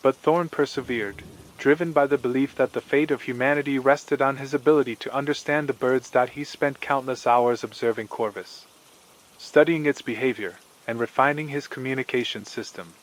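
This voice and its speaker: A man narrating a scripted horror story in a measured, formal storytelling manner. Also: mid-range voice, steady tone, low-quality recording.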